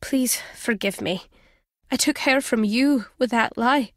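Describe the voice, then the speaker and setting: High-voiced, guilt-laden woman. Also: slow pace, fairly bright tone, no echo, clean studio sound. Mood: anguished, guilty. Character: guilt-ridden middle-aged Scottish woman, earnest former journalist. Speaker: voice actor. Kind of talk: video game dialogue.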